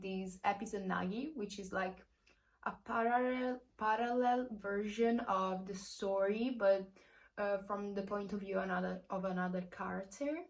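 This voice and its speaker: A young woman with an Italian accent, a native Italian speaker, reviewing the books she read in a casual vlog and often pausing to search for English words. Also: medium pitch. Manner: hesitant, searching for English words, many fillers. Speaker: book vlogger.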